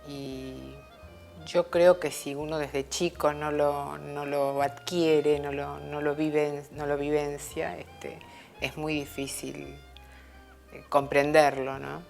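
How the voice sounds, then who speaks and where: Low, gravelly woman. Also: level tone, takes it slowly, darkish tone, faint music behind. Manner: thoughtful, listing, hesitant restarts. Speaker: interviewee. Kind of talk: reflective interview answer.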